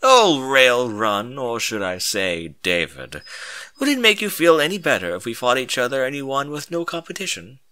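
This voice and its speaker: Man acting as smirking trickster, lively swoops, bright edge, mocking drawl, teasing, comic fan story. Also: medium pitch, moderate pace.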